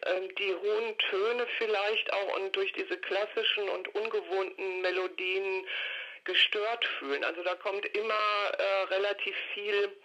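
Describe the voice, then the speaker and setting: A woman's voice: mid-range voice, steady tone, measured pace, pushed and bright. An older woman giving a reflective interview by telephone, speaking in a hesitant, detailed, matter-of-fact way. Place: recorded over a telephone call. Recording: dull, lo-fi telephone line.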